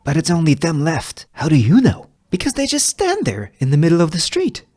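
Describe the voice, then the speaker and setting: A man's voice: wildly swooping pitch, a little fast, darkish tone. A man voicing anxious young women in a western screenplay reading, with uneasy, questioning lines. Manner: high-pitched, uneasy, questioning.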